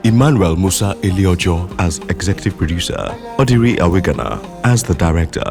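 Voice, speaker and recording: A man with a deep, creaky voice announces credits from a script. His delivery is animated, and music plays clearly behind him.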